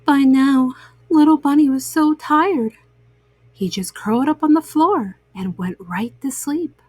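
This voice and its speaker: A woman telling a bedtime story to young children in a gentle, motherly, expressive manner. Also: high voice, a little slow, dry recording.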